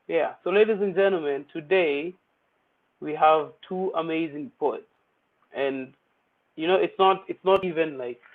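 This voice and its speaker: Chatty man, tinny phone-like sound. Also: a little high. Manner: chatty and playful, mixing English with Swahili slang. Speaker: host of an online poetry open mic. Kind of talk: casual livestream hosting.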